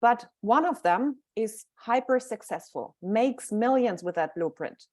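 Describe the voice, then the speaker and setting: A woman's voice: a little high, animated, unhurried, darkish tone. A woman from Germany with a German accent, speaking informally as a mindset coach and thinking aloud as she goes. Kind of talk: guest talk on a livestream.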